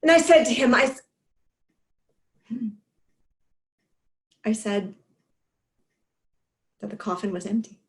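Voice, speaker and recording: An actress plays a recovering alcoholic woman sharing her confession in a dramatic monologue. Her voice sits on the high side. She speaks haltingly and with raw feeling, weighed down by grief, pausing and repeating words. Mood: resigned, tender. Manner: halting, emotional delivery with pauses and repeated words. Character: Peyton, grieving recovering alcoholic woman confessing at a support meeting. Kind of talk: dramatic stage monologue, first-person confession.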